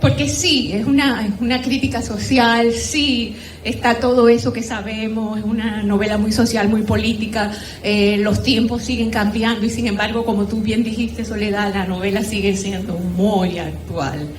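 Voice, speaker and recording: Dominican woman, higher voice, informal book-club participant, roomy echo. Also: fairly even intonation, normal speed. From Dominican Republic. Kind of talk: informal spoken commentary at a literary gathering. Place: echoey room at a live group gathering. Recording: roomy echo, faint background noise.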